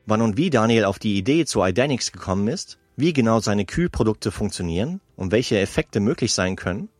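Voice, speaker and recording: A man hosting a sports podcast, asking friendly, relaxed questions during an interview recorded inside a parked car. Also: on the low side, brisk, dry recording. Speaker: podcast host.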